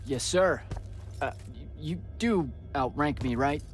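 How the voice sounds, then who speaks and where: A man's voice: a little high, slow pace, faint music behind. An actor playing a cocky young male battlemage recruit in a video game, casual and sarcastic with a hesitant streak. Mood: awkward, flippant. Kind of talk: naturalistic video game acting.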